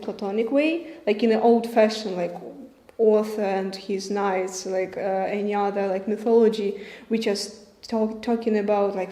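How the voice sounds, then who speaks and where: A young woman, a film director answering questions in a halting way, searching for words with many fillers. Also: mid-range voice, dark tone. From Ukraine. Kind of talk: festival Q&A answers. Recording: roomy with faint music behind.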